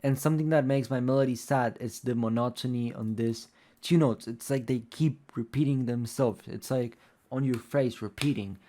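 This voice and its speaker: A man's voice: medium pitch, normal speed, warm tone. A young man whose first language is Spanish, talking casually and loosely through a tutorial as a music producer, with lots of fillers. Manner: rambling, loose, many fillers like "like" and "um".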